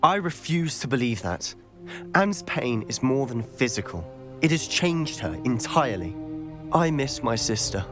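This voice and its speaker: Lively, earnest British schoolboy wizard played by a man. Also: mid-range voice, a little slow, over music, close to an everyday voice. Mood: determined. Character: earnest, stubborn teenage schoolboy wizard, protective brother. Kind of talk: video game cutscene acting.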